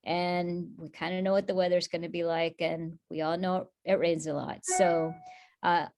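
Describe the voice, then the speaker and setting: A woman's voice: fairly low pitch, little pitch movement, moderate pace, darkish tone. Chatty woman presenting on a video call. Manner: chatty, informal, many fillers and restarts. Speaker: workshop presenter.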